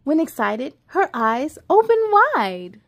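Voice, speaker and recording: A woman in her thirties hosts a read-aloud for kids. Her voice is high and very animated, with an expressive, sing-song way of addressing children. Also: slow pace. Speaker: children's story time host. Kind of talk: children's read-aloud with asides to young viewers. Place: living room at home.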